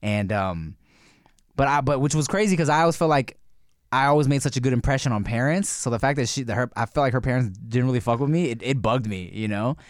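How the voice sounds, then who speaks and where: A man's voice: mid-range voice, fast talker, no room sound, studio quality. A man co-hosting a podcast, talking in a laid-back way, with lots of fillers and restarts. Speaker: podcast co-host. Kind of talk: casual podcast chat.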